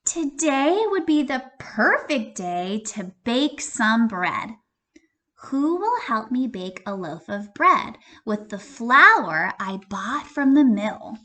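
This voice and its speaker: Storybook hen, played by a woman, small, hardworking and indignant. High, bright, emphatic delivery with stretched words. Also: sing-song, takes it slowly, full character voice. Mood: determined. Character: industrious little red hen, firm and indignant.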